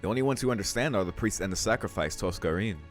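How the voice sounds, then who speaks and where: A man's voice: lowish voice, expressive, fast, faint music behind. A man reading a visual novel aloud as the host of a let's play.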